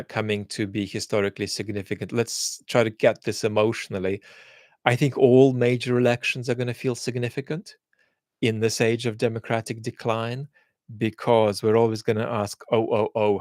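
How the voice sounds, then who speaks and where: A man answering viewer questions in a casual chat, speaking in a thoughtful, measured way with frequent restarts. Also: fairly low pitch, no echo, studio quality. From England.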